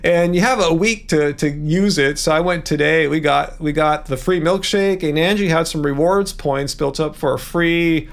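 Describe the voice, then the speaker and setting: A man's voice: fairly high pitch, moderate pace, vocal fry, few pauses. A man hosting a casual livestream, chatting in a rambling way and reading out viewer messages. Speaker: livestream host.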